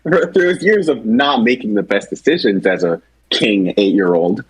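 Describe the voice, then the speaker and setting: A man's voice: fairly low pitch, lively intonation, moderate pace, low-quality recording. A man chatting casually as a co-host, with a joking, wry manner. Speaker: co-host presenting an animated series project's characters. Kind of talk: casual chat.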